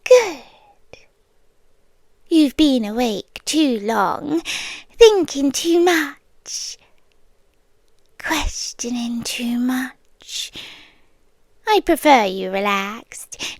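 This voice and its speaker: A British woman playing a doting, possessive serpent-like monster girlfriend in a breathy, soothing and controlling ASMR whisper. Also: high voice, animated, no room sound, exaggerated voice. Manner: slow, hushed, soothing and controlling. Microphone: close ASMR microphone.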